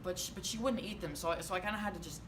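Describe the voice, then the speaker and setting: A man's voice: high voice, very fast, lightly acted. A young man acting a monologue as a disturbed, childlike young man who talks about terrible acts in a matter-of-fact, eerily casual way. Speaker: actor performing a monologue. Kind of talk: theatrical monologue. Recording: distant mic with clear background noise.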